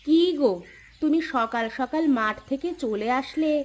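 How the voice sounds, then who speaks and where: A woman voicing a farmer's wife in a cartoon, homely and expressive. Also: sits high, unhurried. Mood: caring. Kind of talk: cartoon voice acting.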